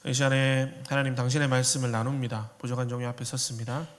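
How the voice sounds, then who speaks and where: A man's voice: mid-range voice, level tone, fast talker. Pastor in his thirties with a faint Korean regional dialect, easy joking delivery, sanctuary reverb. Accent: slight Korean regional dialect. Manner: joking, conversational preaching. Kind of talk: sermon. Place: church sanctuary. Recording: long hall reverb.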